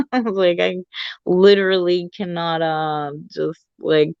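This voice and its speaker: A woman's voice: lowish voice, steady tone, a little slow, vocal fry, clean tone, dry recording, highs cut off. A woman in her forties co-hosting a stream in casual chat, candid and laughing often. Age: 40s. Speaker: stream co-host.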